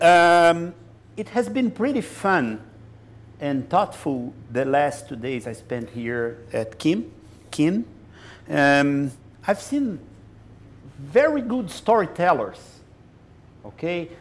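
A man in his sixties from Brazil with a Brazilian Portuguese accent, speaking on a conference panel in a slow, deliberate, emphatic way with pauses, on a lapel mic. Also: fairly high pitch. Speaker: panelist, chief scientist. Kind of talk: panel discussion. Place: conference stage.

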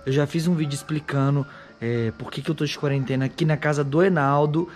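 Young guy vlogging, hoarse voice, pretty high, camera at arm's length, faint music. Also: steady tone, a little fast, darkish tone. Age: young adult. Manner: chatty, addresses viewers directly. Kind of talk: casual vlog chat to camera. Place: outdoor patio, then a house kitchen. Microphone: handheld action camera at arm's length.

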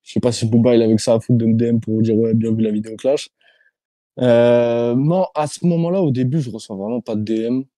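French YouTuber guest, male, mellow dry voice over a video call, hesitant and slangy. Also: medium pitch, brisk, dry recording. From France. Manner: hesitant, many fillers and restarts, loose slang. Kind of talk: casual interview answers.

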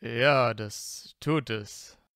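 A man's voice: medium pitch, very slow, a bit bright, clean tone, no room sound. Self-assured teenage wizard played by a man, teasing and probing, close dry sound, fan audio drama. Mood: taken aback.

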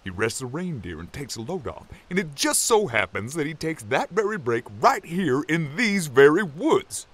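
A man's voice: on the high side, big pitch swings, moderate pace, few pauses, fairly bright tone, breathy edge, exaggerated voice. A man playing a giant, boastful and folksy lumberjack in an audio drama, with a hearty, theatrical manner. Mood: earnest, hopeful.

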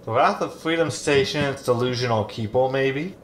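An actor playing a grumpy, sardonic ship's hologram who grumbles through a sci-fi sitcom audio drama. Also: male voice, medium pitch, normal speed, fairly bright tone, slight background noise, close to an everyday voice. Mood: annoyed. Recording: echoey room with background ambience.

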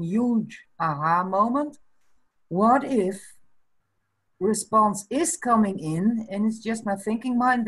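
A Dutch woman in her fifties whose first language is Frisian, talking slowly and thoughtfully in a casual chat over a webcam from a small room. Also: mid-range voice, breathy edge, rough recording. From Netherlands. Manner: slow and thoughtful, breaks into small laughs. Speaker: guest. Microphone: video call webcam.

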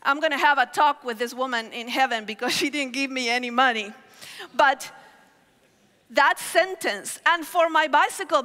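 A middle-aged woman preaches on a big hall stage through a headset mic. Her voice is high and bright, her storytelling animated. The long reverb of the hall trails each phrase. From Argentina. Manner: animated storytelling with gestures, calls on the crowd. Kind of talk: sermon. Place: large hall stage with audience.

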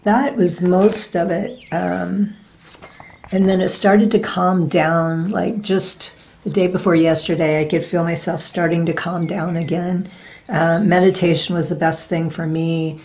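A woman in her forties speaks to her webcam about her own experiences, her voice a little raspy and gravelly with some vocal fry. Her pitch sits on the low side. She rambles loosely and keeps stopping to hunt for the next word. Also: steady tone, unhurried, darkish tone, slight background noise, poor audio. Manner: rambling, searching for words with many ums. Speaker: reiki practitioner. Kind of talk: casual spiritual vlog talk. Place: indoors, virtual blossom backdrop.

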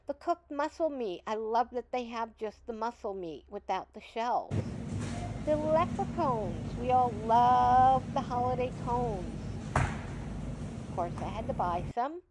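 Upbeat chatty woman, high voice. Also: lively intonation, takes it slowly, a little mellow, slight background noise. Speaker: vlog host. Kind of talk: casual shopping voice-over.

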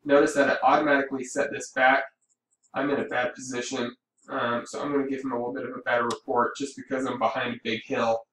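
A man giving a casual, explanatory software walkthrough as a hobby radio operator, thinking aloud as he goes. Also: medium pitch, level tone, fast talker. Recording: roomy, distant mic with background noise.

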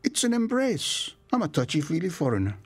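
An actor playing a self-described touchy-feely foreigner who is having a secret affair, soothing and insistent in a radio drama. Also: male voice, on the high side, wildly swooping pitch, brisk, slightly rough, exaggerated voice. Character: secretive foreign lover, calm and persuasive.

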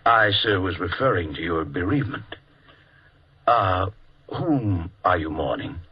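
An actor playing a pompous, mournful funeral parlor attendant in a 1950s radio drama. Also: male voice, sits low, sing-song, measured pace, slightly rough, heavily acted. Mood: solemn. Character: solemn, unctuous male funeral parlor attendant. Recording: muffled old radio recording.

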